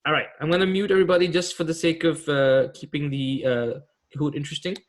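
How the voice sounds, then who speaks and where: A man's voice: a little high, flat delivery, fast. A man from Pakistan in his thirties, a teacher and imam, running a casual online class for teens with a quiz. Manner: casual and friendly, many fillers. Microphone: video call.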